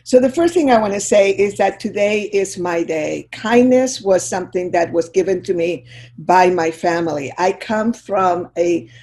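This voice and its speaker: Soft-spoken older woman from Chile. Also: medium pitch, expressive, normal speed. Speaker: guest speaker. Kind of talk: heartfelt talk on a video call. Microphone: video call.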